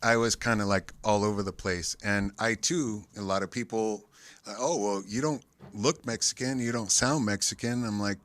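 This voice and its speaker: Soft-spoken man telling stories. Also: on the low side, fairly even intonation, normal speed. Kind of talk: casual group chat.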